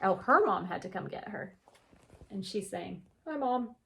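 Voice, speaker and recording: A woman reading a picture book aloud like a teacher, with animated, drawn-out delivery and chatty asides. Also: medium pitch, creaky. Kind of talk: expressive children's read-aloud with chatty asides.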